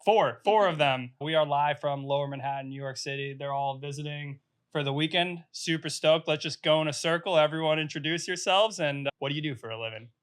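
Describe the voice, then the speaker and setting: A man's voice: a little high, a little fast, fairly bright tone, smooth. A man hosting a live podcast, upbeat and chatty, hyping up his guests. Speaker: podcast host. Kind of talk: casual live podcast chat.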